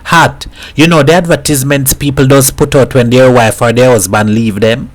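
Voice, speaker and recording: A man's voice: medium pitch, fairly even intonation, moderate pace, close to an everyday voice. A man voicing an eager, insistent young boy in a story reading.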